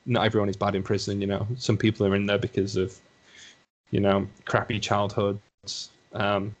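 Young Lancashire man, low-pitched, chatty podcast guest who rambles and reflects aloud. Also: completely flat delivery, normal speed. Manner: rambling, self-reflective, hedges with "you know". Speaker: podcast guest, assistant psychologist. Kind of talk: casual conversation.

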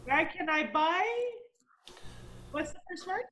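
A woman's voice: high-pitched, steady tone, slow pace, sharp tone. A woman whose first language is Turkish, chatting as a guest, good-humoured and asking direct questions. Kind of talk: casual chat.

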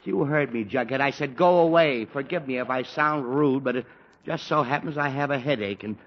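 A man's voice: mid-range voice, normal speed, natural voice. An actor playing an exasperated middle-aged father with a headache, in 1940s radio acting style. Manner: exasperated, blustering. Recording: dull old 1940s radio broadcast.

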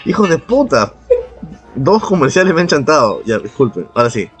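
A man with a Peruvian accent and a husky voice chatting casually as a podcast co-host, slangy and profane. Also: on the high side, big pitch swings, normal speed, soft background music. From Peru. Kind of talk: casual chat, reminiscing.

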